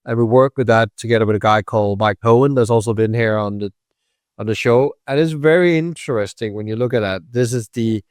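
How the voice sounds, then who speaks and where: Man, podcast host, emphatic, lively, dry close sound. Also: on the low side, brisk, dry recording. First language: Danish. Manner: animated, emphatic, checks in with tag questions. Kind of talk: conversational interview.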